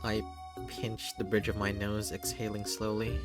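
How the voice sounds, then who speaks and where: A narrator reading a first-person visual novel story in a measured, unhurried way. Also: medium pitch, completely flat delivery, music in the background. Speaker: narrator and game reader.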